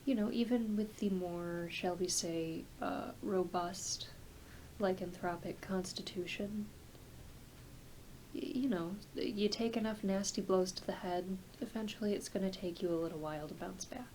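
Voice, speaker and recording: A woman playing a kind, reassuring doctor in a soft-spoken ASMR roleplay, talking in a gentle, hesitant, conversational way. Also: medium pitch, a little slow, slight background noise, close to an everyday voice, low-quality recording. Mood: calm, reassuring. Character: kind, reassuring doctor who treats werewolves.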